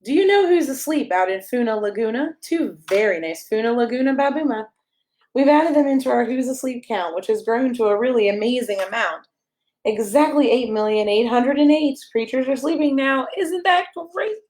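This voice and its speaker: A woman's voice: fairly high pitch, expressive, moderate pace, darkish tone, a little hiss or hum. A woman reading a rhyming children's picture book aloud as a storyteller, in a playful, sing-song way with emphasis on the rhymes.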